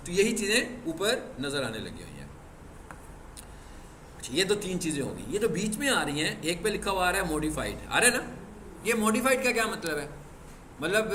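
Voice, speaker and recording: Male classroom teacher, high-pitched, rhetorical question-and-answer delivery, strict; echoey room. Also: pushed and bright. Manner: explains through rhetorical questions and answers them himself; stern with students about discipline. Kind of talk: classroom lecture. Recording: echoey classroom with faint background noise.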